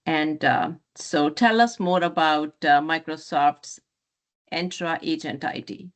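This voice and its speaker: A woman's voice: sits low, takes it slowly. A woman interviewing a guest in a conversational, encouraging and affirming way.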